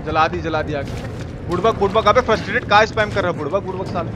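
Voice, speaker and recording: A man giving excitable, repetitive, slangy live game commentary as a streamer. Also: high-pitched, quick pace. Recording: clear background noise.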